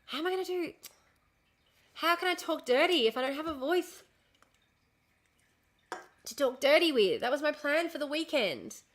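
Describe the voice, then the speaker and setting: Young streamer, hoarse from illness, swears a lot, sharp and bright, fairly high register. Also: female voice, lively intonation, moderate pace, pauses often. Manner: ranting, lots of swearing and restarts. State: losing her voice, coughing. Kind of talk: casual live-stream chat reading comments.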